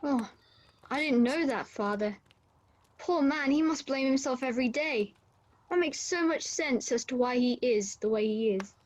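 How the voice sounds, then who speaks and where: A woman's voice: high-pitched, normal speed. A woman playing a compassionate young family member in an amateur radio play, earnest and sympathetic. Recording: dull video-call audio.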